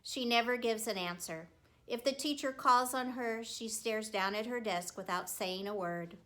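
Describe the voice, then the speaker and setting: A woman reading a children's story aloud as a teacher would, with expressive narration and deliberate, emphatic phrasing. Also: a little high.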